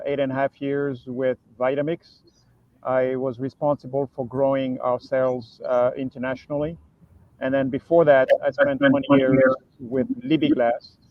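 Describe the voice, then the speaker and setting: A man's voice: medium pitch, no echo. A man in his fifties from Cameroon, a native French speaker, introducing himself courteously and formally over a video call. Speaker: sales director, panelist. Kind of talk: business panel introduction. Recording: dull dry video-call audio.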